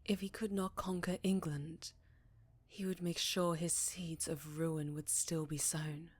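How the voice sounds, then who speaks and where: A woman playing a haunted, sorrowful vampire in an ASMR roleplay, telling her tale slowly with a Southern accent and a breathy voice that often slips into whispers. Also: low-pitched, little pitch movement. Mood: haunted, fearful. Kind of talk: ASMR roleplay, intimate dramatic storytelling.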